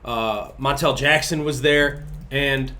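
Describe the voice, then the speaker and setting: A man's voice: mid-range voice, fairly even intonation, takes it slowly, sharp tone. A young man co-hosting a casual sports podcast, easygoing and agreeable. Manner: laid-back, agreeable, plugs the channel. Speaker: podcast co-host. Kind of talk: casual chat.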